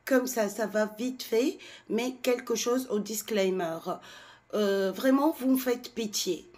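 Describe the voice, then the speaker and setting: Slow, indignant Tunisian woman. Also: on the high side, darkish tone. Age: 40s. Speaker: French teacher. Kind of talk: ranting to camera.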